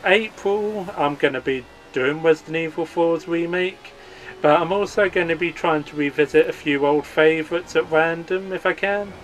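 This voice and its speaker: A man's voice: a little high, moderate pace, rough recording. A man hosting a gaming channel, giving an earnest, upbeat channel update read as a voice-over.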